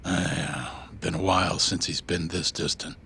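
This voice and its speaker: A man's voice: low voice, fairly even intonation, measured pace, vocal fry, sharp tone, a little hiss or hum, heavily acted. A man acting a grave, fatherly senior lawyer in an English video game dub. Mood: weary, concerned. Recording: music behind the voice.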